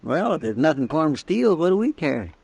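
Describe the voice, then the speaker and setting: An actor playing a deferential, plaintive frontier deputy with a rural American Western drawl, in old radio drama style. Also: male voice, fairly high pitch, sing-song, brisk, gravelly, a little mellow, breathy edge, exaggerated voice. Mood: puzzled, eager. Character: folksy, anxious, deferential deputy of a frontier marshal. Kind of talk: 1950s radio drama acting.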